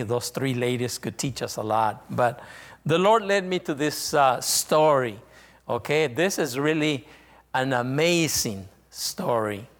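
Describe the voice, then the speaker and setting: A man from northern Mexico with a Mexican Spanish accent, a visiting missionary preaching a sermon, halting often with fillers and restarts. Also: medium pitch, fairly bright tone, long reverb tail.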